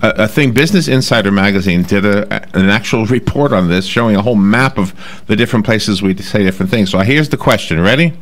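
A man with a New York accent hosting a casual radio talk show from a studio desk microphone, chatty and quick to laugh. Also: fairly low pitch.

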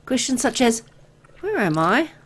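A woman voicing an anxious, disoriented amnesiac young woman who narrates a horror visual novel in a dramatic, deliberate way. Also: on the high side, sing-song. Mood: dazed.

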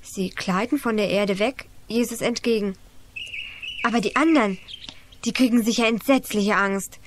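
A woman's voice: mid-range voice, moderate pace, background noise, lightly acted. A female performer playing an earnest, devout child who explains things in a children's radio play. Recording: 1980s radio play, birdsong behind.

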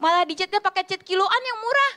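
A young woman doing a stand-up comedy routine in an animated, slangy storytelling way. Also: extremely high pitch, quick pace. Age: young adult. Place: TV studio stage, indoors. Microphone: handheld microphone.

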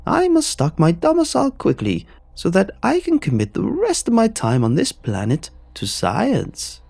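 A man voicing a pompous, shrieking egg-shaped creature who makes booming, formal demands. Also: wildly swooping pitch, normal speed, vocal fry, darkish tone, dry recording, exaggerated voice. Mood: booming demanding.

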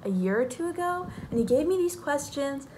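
A woman's voice: high-pitched, expressive, brisk, a little mellow, slight background noise. Bubbly young woman vlogging to her phone. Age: young adult. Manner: bubbly, chatty, lots of fillers, laughs often. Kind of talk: casual vlog chat. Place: small bedroom, then parked car. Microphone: phone camera at arm's length.

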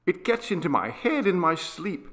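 Man voicing a feverish, pleading young boy, higher-pitched. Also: sing-song, normal speed, a bit bright. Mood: urgent, confiding. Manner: urgent, indignant, insistent. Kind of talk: dramatised audiobook character reading.

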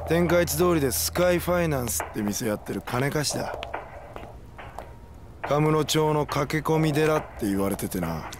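A man's voice: a little high, animated, moderate pace, background noise, natural voice. A man voicing a matter-of-fact, wary yakuza member in a Japanese video game, speaking in a street-wise way. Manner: blunt, explanatory, rough casual speech.

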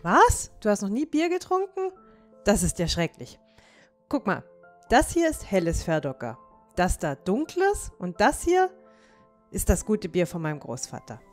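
A woman's voice: medium pitch, huge pitch swings, measured pace, soft background music. A woman voicing a cheeky, motherly young dwarf in an audio drama, teasing like a friendly know-it-all. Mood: playfully shocked.

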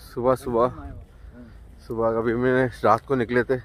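A man's voice: medium pitch, flat delivery, measured pace. A man in his thirties with a Pakistani Urdu accent, chatting to his phone at arm's length outdoors on a beach and a boat. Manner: chatty, showing things to the camera. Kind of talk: casual selfie narration. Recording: engine noise behind.

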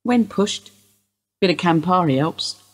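Woman playing bereaved mother, husky, composed, understated, weary, radio drama. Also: fairly low pitch, a little slow. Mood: dry, weary. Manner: calm, understated, dry. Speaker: actor in a radio play. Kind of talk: naturalistic radio drama acting.

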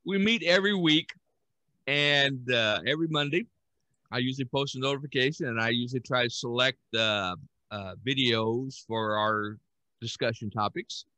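A man's voice: mid-range voice, moderate pace, bright, forceful tone, dry recording. An older man hosting a podcast in casual, rambling talk with chuckles, speaking over a headset on a video call. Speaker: podcast host. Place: room at home.

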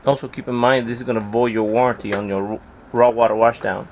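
A man's voice: mid-range voice, fairly even intonation, fairly quick, slight background noise. Man explaining boat work outdoors. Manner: plain step-by-step explaining with fillers. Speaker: DIY boat video host. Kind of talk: casual how-to instruction.

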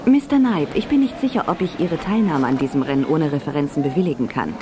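A woman's voice: low-pitched, animated, fast. An actress playing a cool, guarded businesswoman in an audio drama, on a noisy old cassette. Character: cool, guarded businesswoman running a race registration.

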